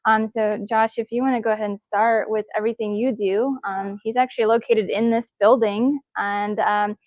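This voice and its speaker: Woman emceeing an indoor event, cheery and chatty, kinda high voice. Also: fairly even intonation, moderate pace, no echo, muffled recording. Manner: enthusiastic, cheering, frequent fillers. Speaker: event host. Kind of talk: live event introductions.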